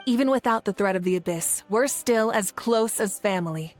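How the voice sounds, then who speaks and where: An actress voicing a dignified woman leader of united tribes in a video game, speaking in a measured, proclaiming manner. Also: medium pitch, soft background music, lightly acted. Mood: warm, rousing. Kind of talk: video game voice acting, formal speech.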